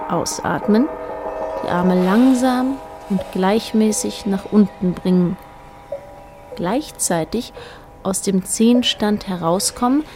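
A woman narrating a literary radio play in a measured, matter-of-fact way. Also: mid-range voice.

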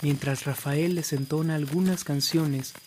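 An actor as the storyteller of a radio drama, delivering scripted dramatic narration. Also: male voice, fairly high pitch, fairly even intonation, measured pace, a little mellow, slight background noise, close to an everyday voice. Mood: narrating.

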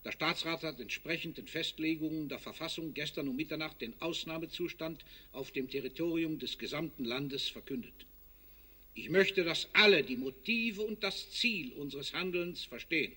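Radio drama: military leader proclaiming emergency rule, highish, sharp, solemn and declamatory. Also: male voice, breathy edge. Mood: stern, solemn. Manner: stern, declamatory, measured. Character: solemn military ruler proclaiming martial law. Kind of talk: formal televised proclamation.

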